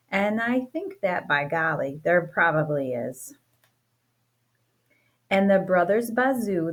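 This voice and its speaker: A woman teacher reads a rhyming picture book aloud at a slow, unhurried pace. Her delivery is playful and expressive, bouncing along with a sing-song lilt. Her voice sits at an ordinary middle pitch. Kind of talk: storybook read-aloud.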